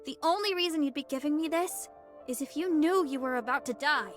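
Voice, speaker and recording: An earnest, stubborn young girl soldier, voiced by a woman for a video game, with a very high voice and a blunt, insistent way of talking. She sounds anxious and perceptive. Music plays clearly behind her. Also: a little fast, lightly acted. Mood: upset, stubborn.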